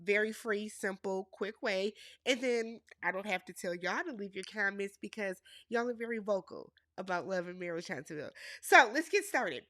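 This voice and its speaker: Stuffy nasal wisconsin woman, bright tense voice, dry close sound, opinionated recap chat. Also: mid-range voice, animated, moderate pace, few pauses, no room sound. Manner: opinionated, addresses viewers as y'all. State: congested from a cold. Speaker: reality TV recap host.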